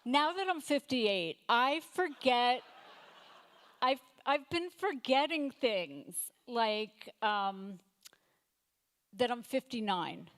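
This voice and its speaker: Deadpan woman comic on stage. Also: a little high, takes it slowly. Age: 50s. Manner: deadpan one-liners with hesitant fillers and self-corrections. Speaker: stand-up comedian. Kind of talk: stand-up comedy set. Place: comedy night stage before a live audience.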